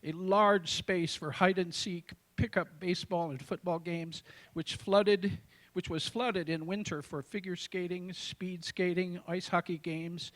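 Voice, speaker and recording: Higher-pitched older American man reciting poetry. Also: fairly even intonation, measured pace, fairly bright tone. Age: 60s. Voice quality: tenor voice. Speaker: amateur poet. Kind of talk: reciting own poetry to a live audience.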